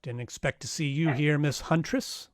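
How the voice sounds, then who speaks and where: An actor playing a wry, tough private detective, narrating and questioning in an old-time radio crime drama. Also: male voice, fairly high pitch, moderate pace, no room sound, clean studio sound, natural voice. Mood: guarded. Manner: wry, matter-of-fact.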